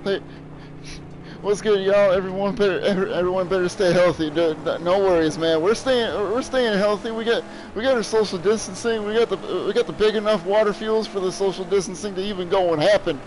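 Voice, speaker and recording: A man's voice: high voice, a little fast, a little mellow, a little hiss or hum, rough recording. Joking, crude young man streaming a game. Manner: crude, joking, laughs a lot. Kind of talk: casual gameplay commentary.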